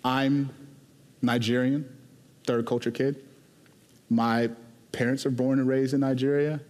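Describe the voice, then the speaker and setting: A man from Houston, Texas, preaching a sermon as a pastor in a conversational, animated way that moves between quick asides and slow, emphatic lines. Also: mid-range voice. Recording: long hall reverb.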